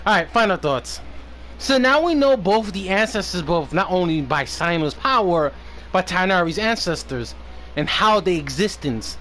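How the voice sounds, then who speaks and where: A man doing casual livestream commentary on a game, halting as he stumbles over names and repeats words. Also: high-pitched, lively intonation, pushed and bright. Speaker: game streamer. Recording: slight background noise on stream.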